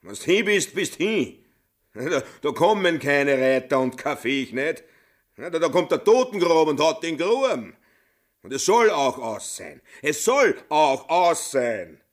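A man's voice: fairly high pitch, very animated, slow pace. An actor playing a blunt, grumbling village woodsman in a radio drama, speaking rustic Austrian dialect. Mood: bleak, insistent.

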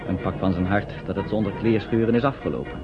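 Low-voiced male radio play narrator over music. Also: fast. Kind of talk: scripted storytelling narration. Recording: dull old radio recording.

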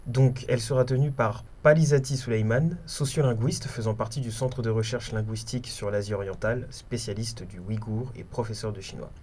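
A man's voice: medium pitch, brisk, hardly any pauses, a little mellow, slight background noise. A man co-hosting a radio programme, reading scripted announcements and descriptions aloud. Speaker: radio co-host.

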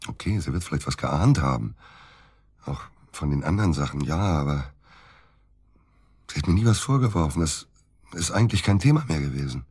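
Grumpy middle-aged provincial doctor in a radio play, really deep husky voice, curt and worried. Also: male voice, animated, normal speed, long pauses, darkish tone, no room sound, heavily acted. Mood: guarded, uneasy. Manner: grumbling, curt, dismissive. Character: disgruntled middle-aged provincial surgeon, worried about his missing wife. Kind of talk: naturalistic German radio drama dialogue.

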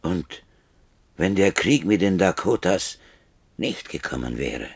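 An actor playing a grave, knowing war chief in a German radio play, speaking in a solemn, measured and quietly challenging way. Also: male voice, deep voice, animated, husky, dry recording, exaggerated voice.

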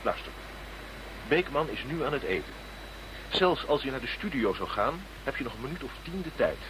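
An actor playing a practical surveillance team leader in a radio play, speaking matter-of-factly. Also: male voice, medium pitch, very animated, normal speed, background noise, natural voice. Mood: reassuring. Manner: matter-of-fact.

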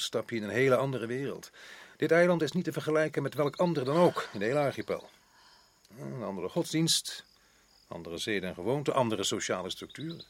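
An actor playing a stubborn, self-assured colonial official in a radio play, with a dismissive, opinionated manner. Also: male voice, medium pitch, natural voice. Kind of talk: radio drama acting in Dutch. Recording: noisy old radio play recording.

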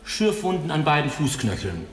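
A man's voice: fairly high pitch, big pitch swings, normal speed. An actor playing a big old male pathologist who dictates an autopsy report in a dry, clinical manner, in a radio drama. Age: older. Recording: echoey tiled room, faint hiss.

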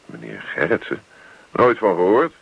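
An actor playing a puzzled, sardonic husband in a radio play, hesitant and given to dry muttering. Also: male voice, deep voice, sing-song, normal speed, gravelly, slightly rough, heavily acted. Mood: puzzled, wary. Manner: hesitant, dryly sarcastic, muttering. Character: puzzled, sardonic husband, youngish bank man. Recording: dull, lo-fi old radio play recording.

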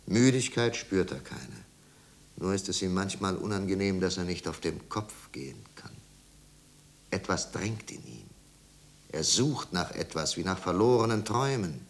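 A man narrating a 1950s German radio drama as a solemn storyteller, with grave, measured and deliberate phrasing. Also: sits low, gravelly, full character voice.

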